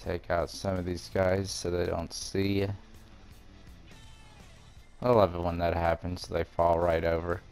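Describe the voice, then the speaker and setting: A man's voice: low-pitched, monotone, a little slow, pauses often, darkish tone, clean tone, soft background music. A man giving a casual video game walkthrough, explaining things step by step in an informal way. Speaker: gamer presenting a walkthrough.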